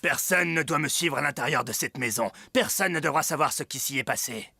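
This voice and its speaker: An actor playing a jealous, wrathful, imperious king in a French animated Bible drama, with a rough, gravelly voice. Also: a little high, brisk, no room sound, studio quality, full character voice. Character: jealous, wrathful, brooding middle-aged king. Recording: music under the voice. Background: music.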